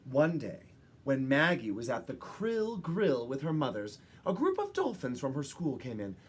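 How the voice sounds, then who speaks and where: A woman narrates a storybook for young children. Her pitch swings widely and her tone has a husky, breathy edge. She leans on key words and leaves dramatic pauses. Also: huge pitch swings. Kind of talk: expressive picture-book read-aloud.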